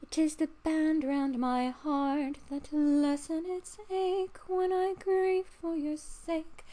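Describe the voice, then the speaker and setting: Faithful manservant, acted by a woman, high pitch, slow singsong verse, sorrowful, storybook. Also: fairly even intonation, mellow, soft background music. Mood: plaintive. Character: devoted, sorrowful male servant of a prince.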